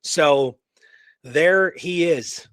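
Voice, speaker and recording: A man's voice: on the high side, very slow, clear, resonant tone, no echo. A man co-hosting a radio show, recounting history in a casual, enthusiastic, informal way.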